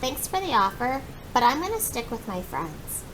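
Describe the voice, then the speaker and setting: A woman's voice: sing-song, moderate pace. A woman voicing an earnest, proud young male werewolf player in a children's storybook, speaking politely. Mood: proud, firm. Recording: clear background noise with rumble.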